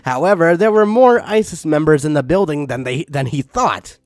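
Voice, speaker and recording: A man's voice: fairly high pitch, moderate pace, darkish tone, no room sound. A man reading a story aloud as comic narration, incredulous and breaking off for asides, with music behind him. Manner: incredulous, often breaking from the script. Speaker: fan fiction reader.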